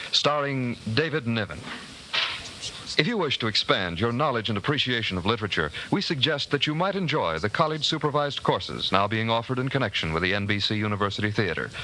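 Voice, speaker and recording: A man with an American accent reading scripted 1940s radio announcements in a formal, polished, measured way. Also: mid-range voice, bright, forceful tone, slight background noise, poor audio. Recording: old 1940s radio broadcast, music behind.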